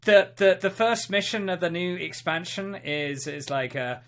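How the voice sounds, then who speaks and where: A man co-hosting a casual gaming podcast, chatting in an excitable, jokey way. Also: high-pitched, normal speed, a little hiss or hum. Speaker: podcast co-host.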